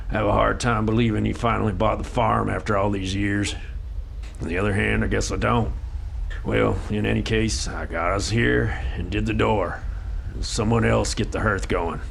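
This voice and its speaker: An actor playing a gruff, practical frontier guide who talks bluntly and folksily. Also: male voice, on the low side, fairly even intonation, normal speed, a little hiss or hum.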